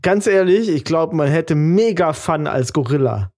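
A man with a somewhat high voice banters on a podcast, using youthful slang and breaking into laughter at his own jokes. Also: animated, a little slow, a little mellow. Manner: playful, slangy, laughs a lot. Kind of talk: casual chat.

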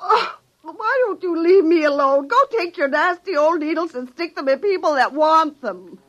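A woman's voice: high-pitched, expressive, normal speed, fairly bright tone. A woman acting a stubborn, exasperated wife with a cold in a 1950s radio drama, dismissive and peevish. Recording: dull 1950s radio recording.

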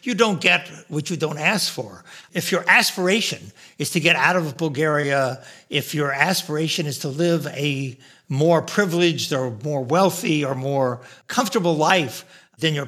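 A man's voice: fairly high pitch, sharp tone. An older man hosting a podcast, introducing his guest and drawing lessons from her story in a professorial way. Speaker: podcast host. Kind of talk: podcast interview.

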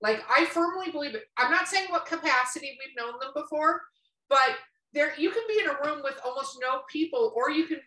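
A woman's voice: high-pitched, brisk, sharp tone. A woman of around fifty chatting casually about books, opinionated and rambling, swearing freely. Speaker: podcast co-host. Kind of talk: casual book chat. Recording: roomy, echoing sound.

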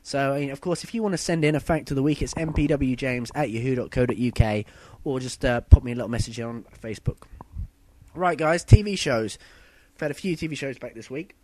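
Young man, husky sore-throat voice, dry close sound, rambling casual podcast host. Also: mid-range voice, fairly quick, mellow, no echo. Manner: chatty, loose and rambling, with fillers and self-corrections. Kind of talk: casual solo podcast chat.

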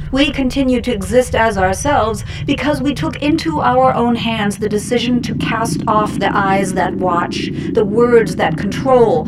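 An actress playing a defiant dissident leader, delivering a solemn, rhetorical political address in a radio drama. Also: female voice, fairly high pitch, lightly acted. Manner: solemn, rhetorical, deliberate declarations. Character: defiant female dissident leader making a grave public address. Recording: very noisy, with heavy background noise.

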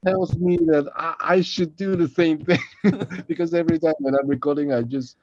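A man's voice: a little high, fairly even intonation, normal speed, a little mellow, dry recording, highs cut off. A man who is a non-native English speaker, chatting casually as a co-host in a jovial, encouraging way. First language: not English. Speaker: podcast co-host and voice performer. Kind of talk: casual chat.

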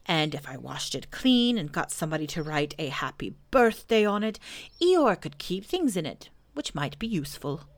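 Woman doing a soft earnest bear, mild and unhurried; storybook reading. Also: lowish voice, sing-song. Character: simple earnest gentle male bear.

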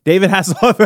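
A man co-hosting a casual comedy podcast, riffing excitably in a halting way. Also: high-pitched, dry recording, studio quality. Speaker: podcast co-host.

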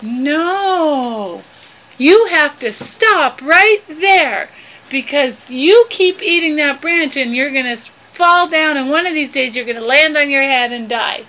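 Woman as tiny, sensible, high-voiced storybook Inchi. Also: lively intonation, slow, bright, forceful tone, exaggerated voice, low-quality recording. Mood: firm, warning. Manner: patient, explaining, emphatic. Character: tiny, sensible, loyal little shoulder-riding friend Inchi.

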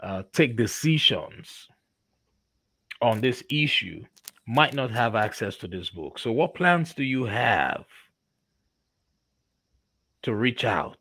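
A man hosting an interview podcast, affirming his guest and asking questions deliberately. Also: medium pitch, big pitch swings, a bit bright, husky, no echo. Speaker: podcast host. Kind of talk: conversational interview.

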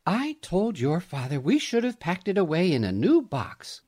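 A man voicing a warm, cheerful young mother in a story, with a kindly sing-song manner. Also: high voice, huge pitch swings, moderate pace, pitched above the performer's own voice, full character voice. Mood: cheerful, gentle.

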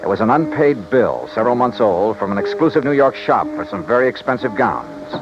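An old, muffled radio drama with music underneath. a hard-boiled investigator whose pitch swings expressively as he talks. He is wry, skeptical and pointed, in classic detective style. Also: very animated, a little fast. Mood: wry, brooding. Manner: wry, clipped, pointed questioning. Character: hard-boiled, skeptical male private investigator, dryly probing. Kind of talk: 1950s-60s radio detective drama, first-person narration. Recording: dull old radio recording, music behind.

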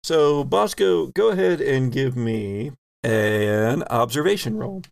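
A man runs a horror tabletop game as its game master. He describes scenes with suspense, taking his time in a gravelly, medium-pitched voice. The sound is dry. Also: animated, slow pace, darkish tone, no echo.

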